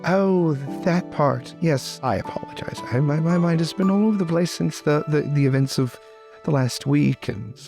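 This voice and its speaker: A man's voice: on the high side, moderate pace, creaky, music in the background. A man playing a cocky, easily distracted lord in a role-play drama, pompous and stammering as he defends himself. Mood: apologetic.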